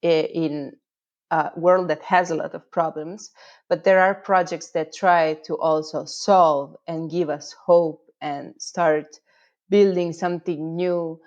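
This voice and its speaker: Slightly muffled recording of a woman with a fairly low voice and a Croatian accent. She speaks as an interview guest, giving conversational answers. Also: steady tone, slow pace, a little mellow. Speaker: interview guest, urban activism project founder.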